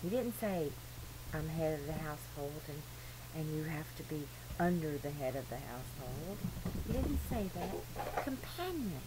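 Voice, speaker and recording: A woman's voice: deep voice, animated, a little slow. Earnest rambling woman on a noisy recording. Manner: earnest, emphatic, digressive. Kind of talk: rambling informal religious monologue. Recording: noisy amateur recording with clear hum.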